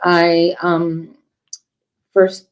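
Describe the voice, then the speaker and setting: Low-voiced woman in her forties, measured. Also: level tone, dull audio. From New York City, raised in northern New Jersey. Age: 40s. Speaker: guest. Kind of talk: casual podcast chat.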